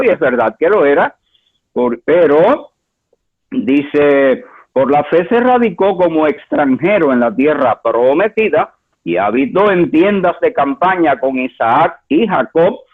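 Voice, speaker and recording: A man from Cuba preaching a sermon as pastor, with emphatic delivery that swings between high exclamations and low asides, repeating words for effect. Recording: tinny phone-like line.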